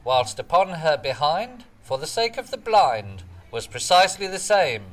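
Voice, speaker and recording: A man playing a stuffy, gentlemanly floorwalker in a radio sitcom, formal and authoritative. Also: fairly high pitch, very animated, normal speed. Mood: suave. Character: stuffy, officious British floorwalker, a gentlemanly captain. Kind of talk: radio play sitcom comedy acting.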